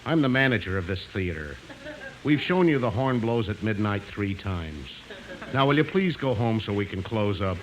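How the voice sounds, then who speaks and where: A man acting a matter-of-fact, dryly weary theater manager in a 1950s radio comedy, speaking deadpan. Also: lowish voice, normal speed. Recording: very noisy old radio recording.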